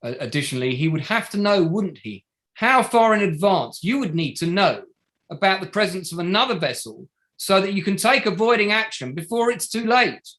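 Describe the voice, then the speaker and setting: A man playing a pointed, methodical barrister in a courtroom drama table read, cross-examining formally and deliberately with leading questions. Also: high-pitched, very animated, a bit bright. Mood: pointed, critical. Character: pointed, methodical male barrister for bereaved families.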